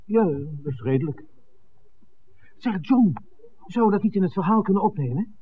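A man's voice: high voice, animated, moderate pace, breathy edge. An actor playing an eager, impulsive, ardent man in a 1960s radio drama, speaking earnestly and directly. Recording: narrow, noisy old radio recording.

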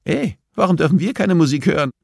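A man doing a high-pitched, defiant male convict. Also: lively intonation, quick pace, vocal fry, darkish tone, no echo, studio quality. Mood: complaining. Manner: cynical, complaining, brazen. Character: defiant, whining, cynical male prison inmate. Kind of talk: audiobook character dialogue.

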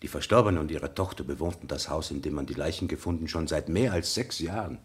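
An actor playing a deliberate French tobacconist who gives formal, pondering testimony in a German radio play. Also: male voice, very deep voice. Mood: deliberate, recollecting.

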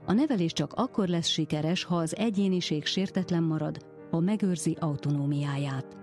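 Low, mellow woman narrator. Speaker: programme narrator. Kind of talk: scripted narration. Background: faint music.

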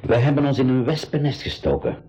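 An actor playing a calm, reassuring uncle who takes charge of young travellers in a radio drama. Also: male voice, mid-range voice, fast, husky, muffled recording. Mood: worried, resigned. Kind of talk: 1980s Dutch-language school radio drama acting.

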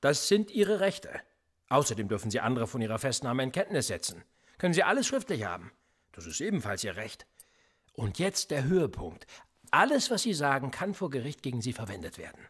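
A sardonic police interrogator in a radio drama, husky and cool. His pitch swings widely as he mocks and threatens by turns. He sounds calm but menacing. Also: brisk. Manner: calm, mocking, turning menacing. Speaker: police officer. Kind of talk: radio play acting.

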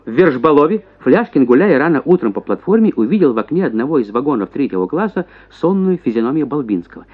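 A man giving an expressive literary narration as an actor reading a story for radio. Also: mid-range voice, quick pace, phone-quality sound.

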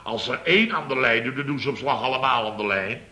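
Blustering, forceful middle-aged boss. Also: male voice, mid-range voice, quick pace. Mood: exasperated. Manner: blustery, exclamatory, repeats himself, mimics people. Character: blustering, exasperated male boss of a building firm. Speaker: lead actor in radio comedy serial. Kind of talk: Dutch radio comedy acting, anecdote telling.

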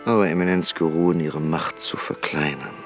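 An actor playing an old, stubborn professor and parliamentarian in a German radio play, politely defiant, with measured, formal and deliberate speech. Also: male voice, extremely low pitch, soft background music. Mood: polite, insistent. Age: older.

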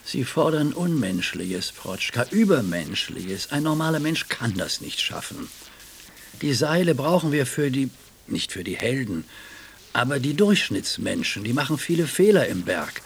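An actor in a radio play voicing an educated, pious but doubting gentleman with a husky voice and an earnest, questioning manner. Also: medium pitch, sing-song, measured pace, a little hiss or hum. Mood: earnest, objecting.

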